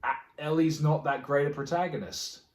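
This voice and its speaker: A man's voice: fairly high pitch, normal speed. A man in a casual podcast chat, informal and agreeable, thinking aloud as he goes. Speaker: podcast co-host. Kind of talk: casual chat about film and game adaptations. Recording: echoey, roomy sound.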